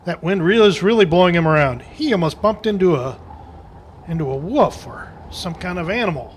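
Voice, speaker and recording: Man playing a stammering high-voiced cowboy. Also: expressive, a little hiss or hum. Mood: wide-eyed. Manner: stammering, repetitive, drawn-out wonder. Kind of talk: old-time radio western parody acting.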